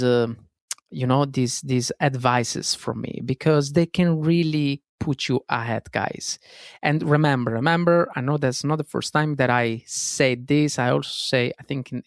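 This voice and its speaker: Gravelly Italian-accented man hosting a podcast. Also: mid-range voice, dry recording, clean studio sound. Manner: earnest, self-correcting, frequent fillers and "guys". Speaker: podcast host. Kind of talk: solo self-help podcast monologue.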